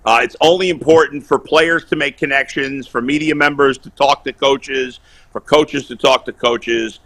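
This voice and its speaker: A man calling in to a sports talk show, loud, blunt and opinionated, bantering casually over a video call. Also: medium pitch, fairly even intonation, moderate pace. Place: remote video call while on vacation.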